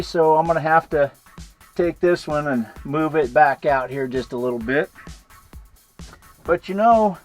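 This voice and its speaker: A middle-aged American man narrating a hands-on vlog in a casual, unhurried way, thinking aloud as he goes. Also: fairly high pitch, darkish tone. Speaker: vlogger showing a vehicle radio installation.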